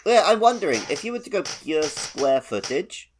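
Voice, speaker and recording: A man's voice: on the high side, sing-song, moderate pace, music in the background. A man co-hosting a video game podcast, talking things over in casual chat. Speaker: podcast co-host.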